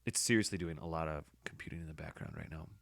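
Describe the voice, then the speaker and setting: A man with a hoarse voice, casually talking through his editing work as a podcast host, thinking aloud with fillers. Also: very deep voice, quick pace, a bit bright, dry recording. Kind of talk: casual screen-recording commentary.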